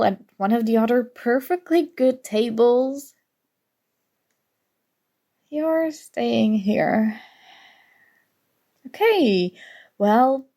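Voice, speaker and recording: A sarcastic young college woman, played by an actress in an ASMR audio roleplay, needles her academic rival. Her voice sits fairly high with a pronounced creak, and she takes her time over each teasing, exasperated jab. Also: mellow. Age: young adult. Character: snarky competitive college student, grudgingly fond academic rival.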